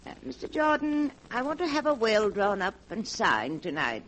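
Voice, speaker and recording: A woman in 1940s radio acting, playing a young actress who poses as her wealthy old aunt, commanding and brisk. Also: mid-range voice, very animated, a bit bright, slight background noise, low-quality recording. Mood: imperious.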